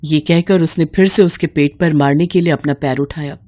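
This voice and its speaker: Lower-pitched woman narrating a dramatic story. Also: steady tone, moderate pace, warm tone, a little hiss or hum.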